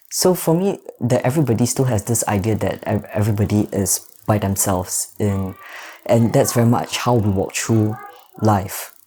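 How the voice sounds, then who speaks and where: A man in his thirties with a Singaporean accent, a guest answering interview questions in a measured way, pausing often with soft fillers. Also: fairly low pitch. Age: 30s. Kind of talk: reflective personal interview.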